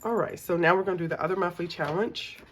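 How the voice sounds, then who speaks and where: Low-voiced woman with a scratchy cold voice. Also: fast talker. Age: middle-aged. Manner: chatty, many ums, counts money aloud. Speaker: budgeting channel host. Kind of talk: casual chat while cash stuffing.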